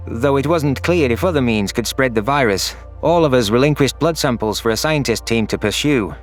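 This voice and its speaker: A man narrates a science fiction audio story in a scripted first-person style. His voice sits at an ordinary middle pitch, with faint music underneath. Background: faint background music.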